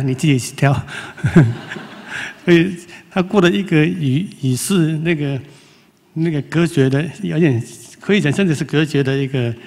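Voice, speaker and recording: A man giving an informal speech to an audience, halting with many restarts and fillers. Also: medium pitch, darkish tone. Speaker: forum speaker. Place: large lecture hall. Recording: long hall reverb.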